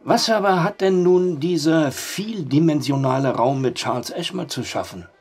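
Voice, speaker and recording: An actor playing a sceptical barkeeper with a husky voice and a dry, teasing manner in a German audio drama. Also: male voice, fairly high pitch, moderate pace, a little mellow, soft background music.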